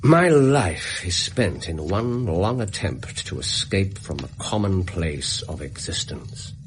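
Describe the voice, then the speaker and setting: An actor playing a brilliant, bored English gentleman detective in a British radio drama, theatrical, precise and self-assured. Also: low voice, sing-song, slow, background noise, full character voice. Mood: weary, melancholy.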